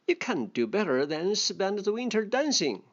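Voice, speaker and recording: Fable role: industrious, disapproving ants, voiced by a narrator, higher pitched, slightly muffled recording. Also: big pitch swings, normal speed, close to an everyday voice. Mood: scornful. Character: stern, industrious ants scolding an idler. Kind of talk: storybook dialogue read for children. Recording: dull, muffled lo-fi sound.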